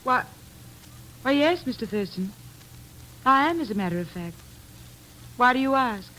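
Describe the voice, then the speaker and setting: High, bright, saucy young woman. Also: expressive, normal speed. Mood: breezy, teasing. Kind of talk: old-time radio drama acting. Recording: noisy vintage radio recording.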